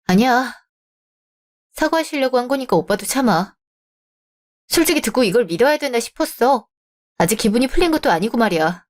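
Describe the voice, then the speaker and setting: A woman voicing a young bride-to-be in a radio drama, polite and measured but firm. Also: on the high side, animated, close to an everyday voice.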